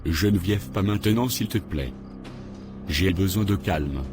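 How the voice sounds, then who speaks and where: Cartoon dub, male actor: earnest grown man, lowish voice, measured delivery, faint music behind. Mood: evasive. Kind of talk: French-language cartoon dub.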